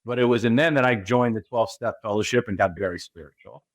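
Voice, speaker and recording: A man's voice: medium pitch, fast talker, dry recording, clean studio sound. A man from California with an American accent, a spiritual guide being interviewed, who talks emphatically and keeps asking rhetorical questions. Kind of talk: interview talk.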